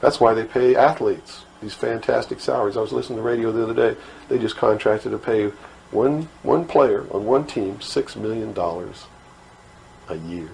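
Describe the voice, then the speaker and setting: An American man lectures with conviction in a low voice. He leans on rhetorical questions and repeats words for emphasis. There is faint noise on the recording. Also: moderate pace, vocal fry, darkish tone. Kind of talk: impassioned lecture. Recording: noisy old talk recording.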